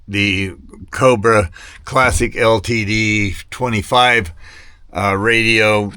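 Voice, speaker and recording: Rambling, halting man with a lowish voice. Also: steady tone, pushed and bright, clear, resonant tone, no room sound, studio quality. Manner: hesitant, halting, wandering off mid-thought. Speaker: vintage radio hobbyist vlogger. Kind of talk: rambling casual product chat to camera.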